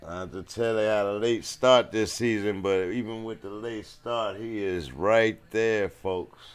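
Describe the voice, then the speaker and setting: A man's voice: lowish voice, fairly even intonation, unhurried, clear, resonant tone. A man doing live American football play-by-play commentary in short, colloquial phrases. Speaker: play-by-play sports host.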